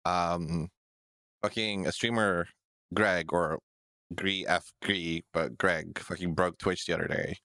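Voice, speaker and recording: Male podcast co-host with a very low, dry voice. Casual, crude and joking. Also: fairly even intonation, takes it slowly, bright, forceful tone, no echo. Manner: profane, joking, laughs often. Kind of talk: casual chat.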